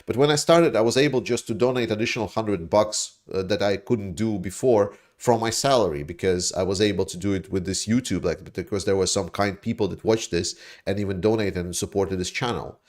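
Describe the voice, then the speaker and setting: A man's voice: on the low side, brisk, few pauses. Rambling man on a livestream. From Latvia. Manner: rambling, animated, swears, mocks opponents in put-on voices. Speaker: livestream host reading viewer chat. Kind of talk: casual livestream chat.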